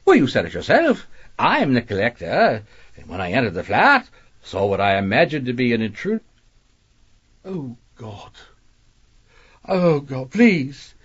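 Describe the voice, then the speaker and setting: An actor playing a smug, evasive gentleman suspect in a radio mystery, pompous and hesitant as he comes under pressure. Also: medium pitch, wildly swooping pitch, unhurried, long pauses, slightly rough, lo-fi sound, exaggerated voice. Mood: flustered, pleading. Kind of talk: radio mystery drama acting.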